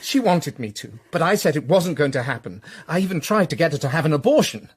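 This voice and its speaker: An actor playing a weak, guilt-ridden British husband in a radio drama, husky, strained and defensive. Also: male voice, a little high, big pitch swings, fast talker. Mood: pleading. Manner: strained, anguished. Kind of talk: emotional radio drama acting.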